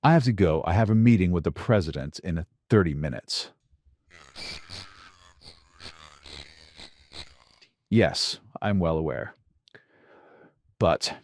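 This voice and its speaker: A calculating, secretly villainous intelligence director acted by a man in an audio drama. The voice is low and very dry. He lays out his thoughts in short, deliberate phrases. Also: a little mellow, no echo, heavily acted. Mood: businesslike. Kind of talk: radio drama dialogue on a phone call.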